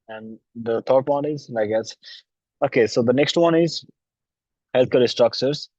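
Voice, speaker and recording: A young man from Nepal gives a recorded class presentation with a sore throat, talking in a halting way with many restarts and throat clearing. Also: mid-range voice, a little mellow, no room sound, lo-fi sound. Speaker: university student presenting.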